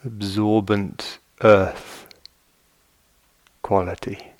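A man guiding a meditation as a teacher, speaking gently and in measured short phrases with long pauses. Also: lowish voice, little pitch movement, no room sound. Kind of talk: guided meditation. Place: meditation retreat hall.

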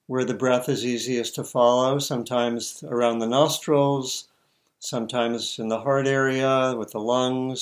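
A man teaching meditation, giving guided instructions in short, measured phrases with long pauses. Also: medium pitch.

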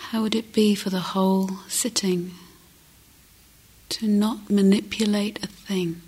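A woman meditation teacher with a breathy, husky voice often near a whisper, gently guiding a meditation with long pauses. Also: mid-range voice, flat delivery. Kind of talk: guided meditation.

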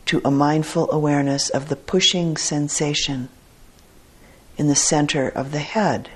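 A woman teacher guiding a meditation in a measured, deliberate way with long pauses and a husky, soft-edged voice. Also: low-pitched. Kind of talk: guided meditation instruction.

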